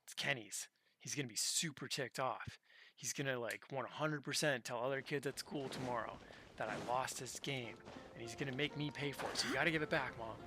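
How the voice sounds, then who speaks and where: A man's voice: medium pitch, a little fast, background noise. A man streaming a game and chatting casually, in a rambling, conversational way. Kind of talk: casual chat during livestream. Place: indoors, game audio and music behind.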